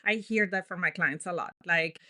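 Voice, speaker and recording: A woman from Colombia speaks as a therapist in a relaxed podcast chat. Her voice is bright and sits fairly low. She talks in a chatty, emphatic way and laughs often. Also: moderate pace. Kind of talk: casual podcast conversation.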